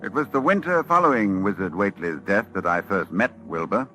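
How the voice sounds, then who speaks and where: An actor playing an educated, grave university doctor in 1940s radio horror, formal in manner, with dramatic pauses. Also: male voice, on the low side, lively intonation, natural voice. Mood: appalled. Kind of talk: scripted first-person narration, 1940s radio horror. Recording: old radio drama with music behind.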